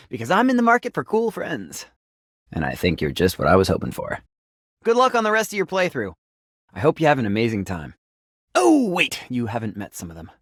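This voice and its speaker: A playful, geeky writer character in a video game. He is chatty and enthusiastic, with a medium-pitched voice that swings widely up and down. The recording is dry, with no room sound. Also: a bit bright, dry recording.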